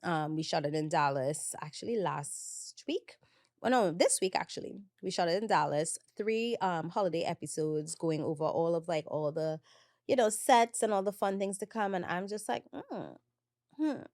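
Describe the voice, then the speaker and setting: A woman hosting her own podcast, chatting casually and animatedly about her work, still slightly hoarse. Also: fairly low pitch, normal speed, no room sound, clean studio sound. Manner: chatty and animated, laughing often. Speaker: podcast host, makeup artist. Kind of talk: casual solo podcast chat.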